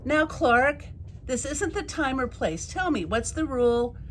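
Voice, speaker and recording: A woman voicing a patient, gently firm female schoolteacher fish in a picture book, coaxing and measured. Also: high-pitched, animated, a little hiss or hum, pitched above the performer's own voice. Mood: gently chiding.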